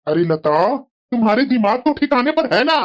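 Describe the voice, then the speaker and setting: A woman's voice: a little high, very animated, moderate pace. A woman voicing a sensible middle-aged husband in a family moral-story cartoon, scolding and lecturing reproachfully.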